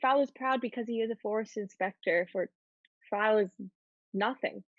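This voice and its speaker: A woman's voice: mid-range voice, normal speed, dry recording, natural voice. A woman playing a happy, self-assured, romantic teenage schoolgirl in a dramatic reading of a period play, in slightly dull sound. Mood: proud, earnest.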